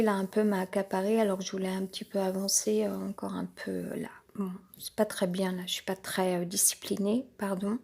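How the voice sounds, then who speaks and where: A woman with a cold, speaking in a halting, rambling monologue full of fillers and restarts. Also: mid-range voice, a little mellow. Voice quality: congested, nasal from a cold. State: has a cold.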